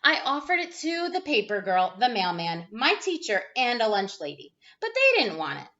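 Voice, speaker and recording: Female storyteller for young children, high bright voice, dramatic delivery, slightly dull lo-fi recording. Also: wildly swooping pitch, normal speed. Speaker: teacher reading a picture book aloud. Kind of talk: animated children's read-aloud.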